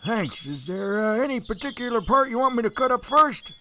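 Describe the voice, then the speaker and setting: An actor playing a plain-spoken, agreeable farmer in an audio drama, folksy and a little hesitant. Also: male voice, extremely high pitch, big pitch swings, fairly quick, pushed and bright, slight background noise, heavily acted. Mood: agreeable, matter-of-fact.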